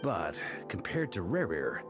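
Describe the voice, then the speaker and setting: An actor playing a hearty, battle-hardened knight grandmaster in a video game, confident and frank. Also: male voice, low voice, wildly swooping pitch, unhurried, over music, exaggerated voice. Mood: calm, frank.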